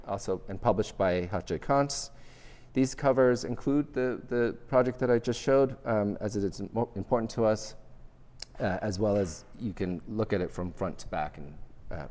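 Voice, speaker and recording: Middle-aged male architecture professor, Vietnamese first language, low voice, thoughtful halting delivery, reverberant lecture hall. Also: a little mellow. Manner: measured, thoughtful, with frequent restarts and fillers. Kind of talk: academic lecture with slides.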